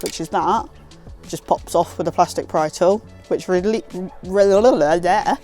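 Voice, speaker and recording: A woman's voice: fairly low pitch, slow pace, dark tone, faint music behind. A British woman giving a casual, hands-on tutorial in an informal, self-deprecating way. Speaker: presenter of a car installation guide.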